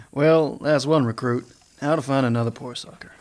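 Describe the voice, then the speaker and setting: A man's voice: mid-range voice, fairly even intonation, unhurried, darkish tone, lightly acted. A man playing a laconic, wry, no-nonsense older US marshal in a western radio serial. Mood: persuasive, casual. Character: dry, weary, no-nonsense older Wild West marshal.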